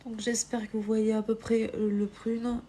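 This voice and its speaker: A woman with a cold giving a live makeup tutorial on a phone stream, hesitant with many filler pauses, sometimes dropping to a whisper while she works. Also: fairly high pitch, level tone, warm tone. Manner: drops to a whisper while applying. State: has a cold. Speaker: makeup livestreamer.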